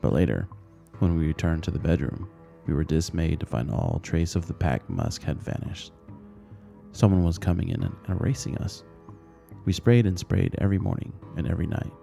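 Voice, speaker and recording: A man's voice: deep voice, expressive, moderate pace, gravelly, mellow, soft background music. A man reading a short story aloud as scripted audiobook narration.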